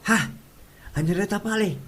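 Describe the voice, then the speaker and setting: A man's voice: high-pitched, brisk, fairly bright tone. A man playing a nosy, gossipy Ilocano neighbour in a comedy audio drama. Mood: curious. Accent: Filipino, Ilocano.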